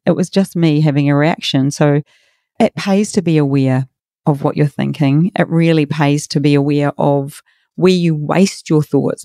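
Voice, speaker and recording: A middle-aged woman with a raspy, hoarse voice, hosting a motivational podcast in an earnest, encouraging way. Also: low-pitched, mellow, no echo, studio quality. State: hoarse from a workshop. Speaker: podcast host and coach.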